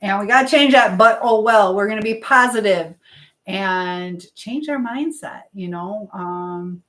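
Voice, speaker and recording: A woman's voice: medium pitch, unhurried, a bit bright, reverberant. A woman hosting a live stream in casual chat, drifting informally between advice to viewers and comments on what she is doing.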